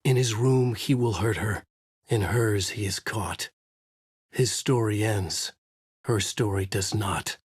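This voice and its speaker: An actor playing a brooding, haunted male writer, narrating his dark story in a measured, dramatic, intense way with a husky voice. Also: on the low side, no room sound, clean studio sound. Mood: brooding, grave. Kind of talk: scripted first-person noir narration.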